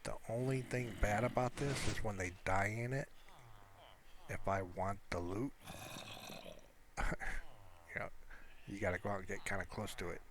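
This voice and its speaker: A man's voice: on the low side, normal speed, long pauses. A man giving casual, unscripted gameplay commentary, rambling and hesitant as he thinks aloud. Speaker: amateur gaming video creator. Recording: steady background noise.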